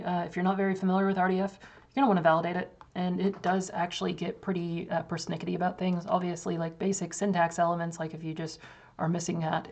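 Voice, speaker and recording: Gravelly, hoarse woman explaining code. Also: lowish voice, darkish tone. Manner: casual, loose, many fillers and restarts. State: losing her voice. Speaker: developer demoing software. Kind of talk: screen-share tech walkthrough.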